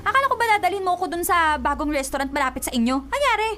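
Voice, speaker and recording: A woman's voice: very high voice, expressive, very fast, sharp tone, a little hiss or hum, full character voice. A woman with a Filipino accent playing a hot-tempered, sarcastic young girlfriend in a radio drama, nagging emphatically. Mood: fed up.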